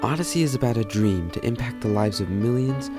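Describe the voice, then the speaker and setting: A man's voice: mid-range voice, expressive, brisk, music in the background. A man reading scripted documentary narration.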